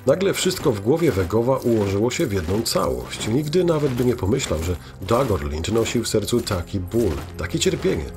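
A man narrating a scripted fantasy audio drama in a measured, dramatic storytelling manner. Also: mid-range voice, big pitch swings, creaky, over music.

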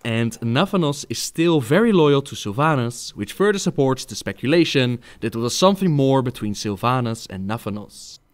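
Male narrator explaining lore, medium pitch. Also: expressive, normal speed, few pauses.

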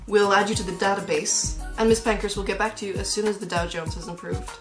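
A woman's voice: medium pitch, fairly even intonation, fast talker, music in the background, close to an everyday voice. A woman playing a stiff, pompous executive's assistant in a formal mock answering machine greeting. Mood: officious. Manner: formal, officious.

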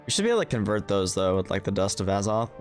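A man's voice: fairly low pitch, big pitch swings, racing. A tired man giving casual livestream commentary on a game, laid-back, with sarcastic asides and mild swearing. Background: game music.

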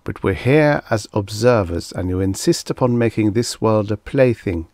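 A man's voice: lowish voice, animated, normal speed, a little mellow, no echo, studio quality. A man voicing a stern, dutiful male wind creature with a stiff, stubborn, scolding manner in a narrated story. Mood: stiff, disapproving.

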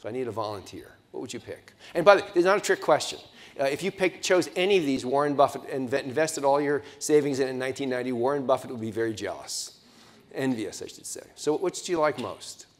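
A man's voice: mid-range voice, quick pace. A man in his sixties giving a conference keynote from a podium in a large hotel ballroom on a lapel mic, engaging and putting questions to the audience, with frequent restarts. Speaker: keynote speaker.

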